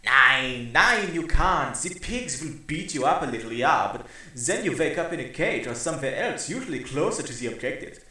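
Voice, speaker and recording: Man putting on a sneering old German villain, animated, high, roomy echo. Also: normal speed, few pauses. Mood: scornful. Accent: put-on German. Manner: haughty, scornful, lecturing. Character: pompous old German-accented male game villain. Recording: echoey roomy recording.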